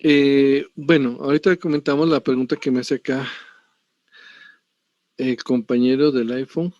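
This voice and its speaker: A man's voice: medium pitch, flat delivery, unhurried, darkish tone, no echo, muffled recording. A middle-aged man with a Colombian accent giving an online pathology lecture, digressive and often checking with tag questions. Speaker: university lecturer.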